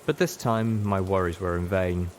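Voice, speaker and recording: Low-voiced man narrating a story. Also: normal speed, a little hiss or hum. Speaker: audiobook reader. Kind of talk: scripted first-person story narration.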